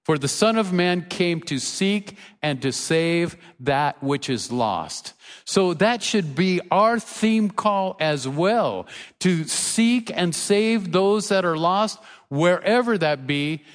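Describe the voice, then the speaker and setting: Older pastor preaching in an echoing hall. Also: male voice, sits high, animated, takes it slowly. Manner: earnest preaching, rising emphasis, with soft storytelling asides. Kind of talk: sermon. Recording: reverberant church hall.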